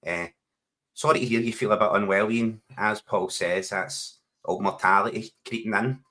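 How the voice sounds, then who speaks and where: A man in his forties chatting on a podcast call, matey and quick to laugh. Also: lowish voice, fairly even intonation, sharp tone. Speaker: podcast co-host. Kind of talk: casual chat. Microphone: home microphone on a video call.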